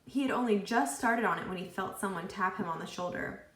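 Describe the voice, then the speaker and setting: A woman reading a children's book aloud expressively, with deliberate phrasing and acted dialogue. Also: medium pitch, a bit bright, echoey room. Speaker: read-aloud storyteller.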